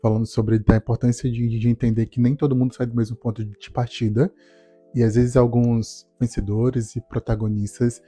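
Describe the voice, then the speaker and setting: A man, telling his life story to camera in a calm, reflective way. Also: mid-range voice, husky. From Salvador, Brazil. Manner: calm, reflective, measured delivery. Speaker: speech therapist and doctoral student. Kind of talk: personal testimony to camera.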